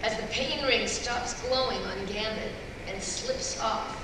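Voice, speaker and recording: A woman's voice: a little high, unhurried. Woman narrating in a very echoey hall. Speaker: narrator reading stage directions. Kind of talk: live scripted narration. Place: large indoor convention hall before fans. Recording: very echoey convention hall, distant, noisy.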